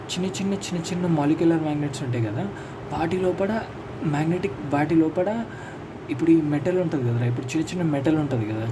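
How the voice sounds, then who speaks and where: A man with a Telugu accent giving an informal lesson as a teacher, explaining notes step by step and repeating points as if to one student. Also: fairly high pitch. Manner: explanatory, repetitive, addressing a student directly. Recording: clear steady background noise.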